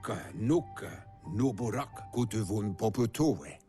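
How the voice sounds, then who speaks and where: Old male creature, gibberish speech, slow, game audio with music. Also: fairly low pitch, lively intonation, dark tone. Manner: babbling in a made-up language.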